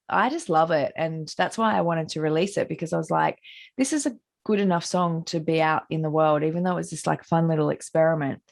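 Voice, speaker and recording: A middle-aged woman with an Australian accent talks casually about her music in a low, husky voice with plenty of gravel in it. She rambles through her answers with frequent fillers and self-corrections, heard over a video call. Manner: rambling, many likes and restarts. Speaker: musician interviewee.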